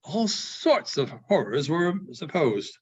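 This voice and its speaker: A man reading a classic story aloud in a theatrical, deliberate manner with dramatic pauses. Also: fairly high pitch, very animated, husky. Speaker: librarian giving a public reading. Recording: dull, muffled lo-fi recording.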